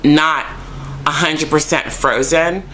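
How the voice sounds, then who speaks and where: A woman vlogger chatting casually to camera, rambling with lots of ums and likes and jumping up in pitch when excited. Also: slow pace, a little hiss or hum, low-quality recording. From United States. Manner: animated, jumps high when excited.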